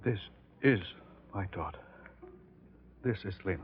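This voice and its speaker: An actor playing a grieving, resigned middle-aged doctor and father in old radio drama, speaking haltingly with a weary, subdued manner. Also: low-pitched, animated. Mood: grieving, numb. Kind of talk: 1950s radio drama acting. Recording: muffled, noisy old radio recording.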